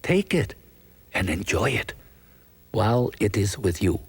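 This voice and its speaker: Storybook role: mysterious, grateful gentleman stranger, acted by a male reader, courteous, unhurried, lowish voice. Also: very animated, slightly rough, exaggerated voice. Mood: gentle gratitude. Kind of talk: storybook reading.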